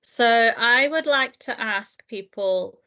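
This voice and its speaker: A woman teacher and lecturer answering interview questions in a thoughtful, earnest way, with frequent fillers and soft laughs. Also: a little high, slow pace. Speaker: guest, primary teacher and lecturer. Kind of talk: reflective interview conversation.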